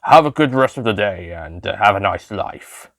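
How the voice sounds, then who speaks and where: A man's voice: lowish voice, expressive, moderate pace, fairly bright tone, no echo, clean studio sound. Man playing a quippy heroic adventurer. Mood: wry. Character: heroic, quippy male time-travelling alien adventurer.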